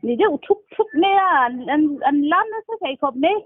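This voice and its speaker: A woman with a high-pitched voice talks in a casual, conversational way on a phone call. The line is thin and narrow, cutting away the body of the sound, and it is quite dry with no room echo. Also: expressive, moderate pace. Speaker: phone caller. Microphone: telephone.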